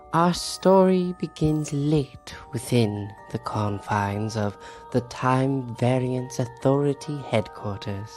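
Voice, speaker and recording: A man's voice: medium pitch, pitched below the performer's own voice. A man voicing a sinister, theatrical old-time horror host narrating a suspenseful tale in an ominous manner. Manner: slow, ominous, hushed suspense.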